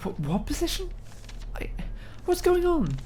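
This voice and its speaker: A woman's voice: very high voice, wildly swooping pitch, unhurried, dark tone, soft background music, pitched above the performer's own voice, full character voice. A woman playing an anxious young trainee scholar in a fantasy audio drama, stammering, hesitant and apologetic. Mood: flustered, alarmed.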